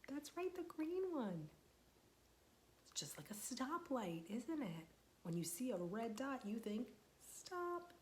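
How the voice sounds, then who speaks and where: A young woman, a children's librarian, reading a picture book aloud to small children, gentle and patient, asking them questions and waiting for their answers. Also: a little high, big pitch swings, slow, a little hiss or hum.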